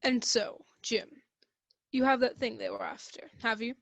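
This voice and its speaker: A woman playing a shrewd gentleman doctor in a radio play, deliberate, dry and formal. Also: fairly high pitch, gravelly, a little hiss or hum. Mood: measured, probing.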